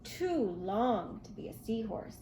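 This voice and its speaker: A woman reading a picture book aloud to young children in an expressive, questioning way. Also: fairly high pitch, slow, slight background noise. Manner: expressive, asks the listener questions. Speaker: children's storybook reader.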